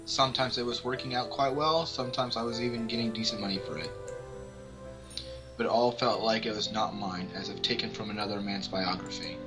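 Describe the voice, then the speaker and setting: A man's voice: lowish voice, fairly even intonation, brisk. Man reading aloud over prominent music. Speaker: amateur let's-player. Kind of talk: casual read-aloud of visual novel narration. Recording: music behind the voice. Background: game music.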